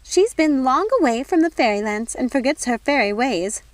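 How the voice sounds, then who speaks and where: High, huffy fairy godmother. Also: animated, moderate pace, dry recording. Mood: falsely sweet. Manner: indignant, theatrical, officious. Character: prim, huffy, bossy old fairy godmother.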